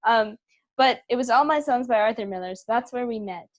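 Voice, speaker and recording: Slightly high-voiced woman, casual interview guest. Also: brisk. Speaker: artistic director, interview guest.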